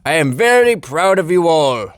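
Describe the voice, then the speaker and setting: A man voicing a stern, proud railway boss in a children's storybook, booming out formal orders. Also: very animated, moderate pace, no echo. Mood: proud, hearty.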